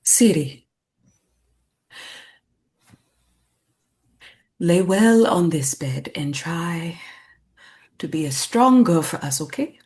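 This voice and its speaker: A woman acts a traditional village friend in a serious stage drama. She is firm and blunt. Her voice is a bit low, and she places her words very slowly. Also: lively intonation, darkish tone. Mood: grave, coaxing. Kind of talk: staged script read of a drama.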